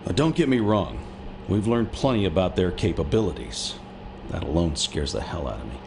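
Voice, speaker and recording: An actor playing a blunt intelligence officer giving a businesslike wartime briefing in a video game. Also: male voice, sits low, expressive, moderate pace, gravelly, noisy background. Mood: concerned, blunt. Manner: businesslike, deferential, plain-spoken.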